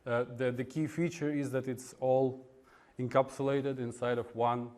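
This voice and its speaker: A man from Russia with a Russian accent giving an informal technical talk at a meetup, in a halting, hesitant way with frequent pauses. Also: mid-range voice, flat delivery, darkish tone. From Russia (Moscow). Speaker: conference speaker, web developer. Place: indoor meetup room in front of an audience.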